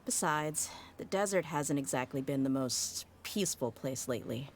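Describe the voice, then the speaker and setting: A woman's voice: low voice, animated, moderate pace, a little hiss or hum. A woman voicing a tough but friendly female mercenary who talks frankly and laughs easily. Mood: apologetic. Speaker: mercenary, former bodyguard. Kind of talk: game voice acting.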